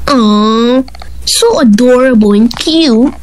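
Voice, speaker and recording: A woman voicing an arrogant, childish buff male gym bully in an amateur animated skit, taunting in a drawn-out way. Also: medium pitch, a little hiss or hum, close to an everyday voice, low-quality recording. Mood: mocking, boastful.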